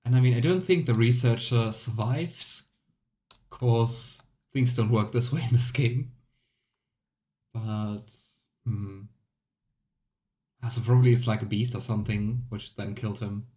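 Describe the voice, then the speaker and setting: A man's voice: mid-range voice, measured pace, long pauses, dark tone. A man in his thirties giving let's play commentary, musing to himself in short remarks and reading game text aloud. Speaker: game streamer. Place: small room indoors at home. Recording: clear background noise, game ambience.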